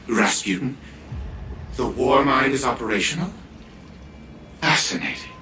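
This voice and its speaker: An actor playing a haughty, condescending old genius patriarch in a video game, theatrical and sardonic. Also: male voice, medium pitch, animated, unhurried, over a noisy crowd, natural voice. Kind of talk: scripted video game acting.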